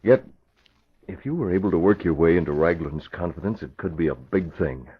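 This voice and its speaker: An actor playing a cautious, authoritative young newspaper publisher in an old radio crime drama, deliberate and businesslike, with a husky voice. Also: male voice, lively intonation. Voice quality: low, husky. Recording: muffled old radio recording.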